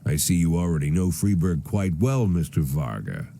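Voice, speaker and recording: An actor playing a weary, cynical, aging American police chief gone corrupt, narrating a noir video game in a dry, sardonic manner. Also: male voice, sits low, expressive, moderate pace, warm tone, slight background noise. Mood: curt, dismissive. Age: older.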